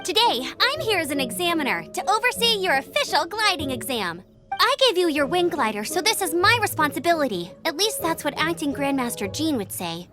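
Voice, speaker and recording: A woman's voice: high voice, big pitch swings, a little fast. A woman voicing a peppy, upbeat young woman knight who chats eagerly in a game dub. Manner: bubbly, upbeat, chatty. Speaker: gliding examiner. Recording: game audio with music behind.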